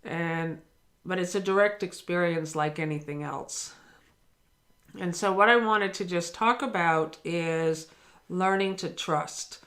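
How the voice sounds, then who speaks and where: A woman's voice: lowish voice, takes it slowly, a bit bright. A woman giving a daily spiritual lesson, earnest and encouraging, speaking directly to the listener. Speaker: spiritual teacher.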